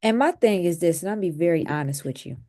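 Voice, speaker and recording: A woman's voice: on the low side, animated, fast talker, mellow. A woman hosting a commentary show, explaining celebrity drama in a conversational way with asides. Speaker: host.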